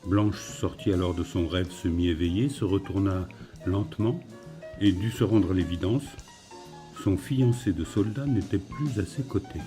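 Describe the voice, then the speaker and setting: A man reading literary fiction as a narrator, in a measured storytelling manner. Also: low voice, dark tone, faint music behind.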